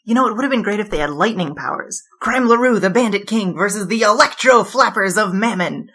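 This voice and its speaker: An actress playing a boastful, flamboyant female bandit king in an audio drama, with a theatrical, grandiose, quick-witted delivery. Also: medium pitch, animated, fairly bright tone, husky.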